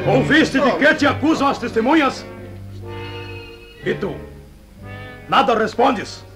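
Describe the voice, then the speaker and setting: A stern high priest declaims in a very high, slow voice. He is pompous and commanding, in old-fashioned radio drama style, with music behind him. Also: lively intonation, heavily acted. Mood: stern, accusing. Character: stern high priest presiding over a trial, outraged and commanding.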